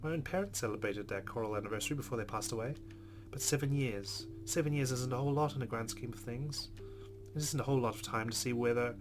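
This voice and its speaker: Guy doing a visual novel lets play, reads narration out loud, normal pitch, measured but expressive, guitar music clearly behind. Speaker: let's play host. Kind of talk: reading visual novel narration aloud with casual asides. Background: game music with plucked guitar.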